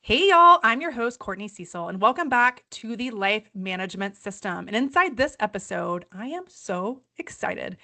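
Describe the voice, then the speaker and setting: A woman's voice: medium pitch, lively intonation, normal speed, hardly any pauses, sharp tone. A woman with a Southern accent, hosting a podcast in an upbeat, friendly way. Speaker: podcast host.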